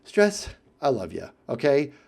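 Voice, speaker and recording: An American man tells casual anecdotes as a video creator, in an animated way, chuckling and acting out exchanges with friends. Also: mid-range voice, measured pace, gravelly. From United States. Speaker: video creator telling stories about friends.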